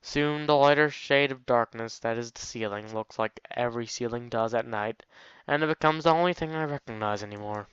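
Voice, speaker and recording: A man's voice: medium pitch, fairly quick, a bit bright, clean tone, no room sound, highs cut off. A man hosting a let's play, reading a visual novel aloud and breaking off for wry, mocking commentary. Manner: wry, mocking asides to the game.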